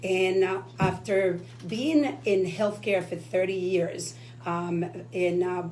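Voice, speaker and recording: A woman's voice: lowish voice, slow, a little mellow, slight background noise, low-quality recording. A woman from the Dominican Republic co-hosting a radio talk show, earnest and enthusiastic. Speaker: radio co-host.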